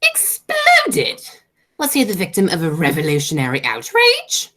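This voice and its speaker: Woman playing a snobbish aristocratic matriarch, low pitch, sweeping intonation, haughty and declamatory, radio play. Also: wildly swooping pitch, unhurried, pushed and bright, heavily acted. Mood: astonished. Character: imperious, snobbish aristocratic mother and aunt. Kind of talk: amateur radio play acting.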